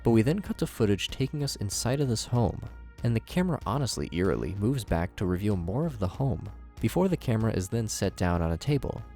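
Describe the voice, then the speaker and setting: A man with a fairly low voice presents scripted commentary as a video host. His delivery is conversational and very lively in pitch, moving expressively through each sentence. Music plays faintly behind him. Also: brisk. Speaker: YouTube host, horror series reviewer.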